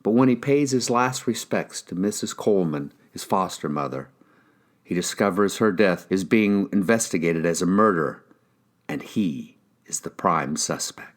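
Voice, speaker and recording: A man's voice: deep voice, expressive, measured pace. A man with an American accent reading first-person crime fiction aloud as a scripted narration. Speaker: audiobook reader, actor.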